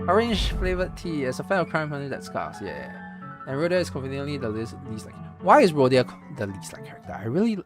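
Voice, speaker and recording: A man from Singapore giving casual live-stream commentary on a game's story, thinking aloud with lots of fillers and restarts. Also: mid-range voice. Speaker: streamer reacting to a game story.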